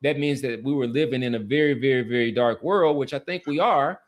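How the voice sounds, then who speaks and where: A man hosting a podcast, talking casually about sports and society in an earnest, halting way with repeated words. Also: medium pitch. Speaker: podcast host. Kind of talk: casual sports talk and commentary.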